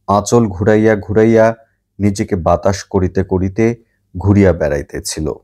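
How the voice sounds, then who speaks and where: A man narrating a literary story as an audiobook, in a measured, deliberate manner. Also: lowish voice, warm tone.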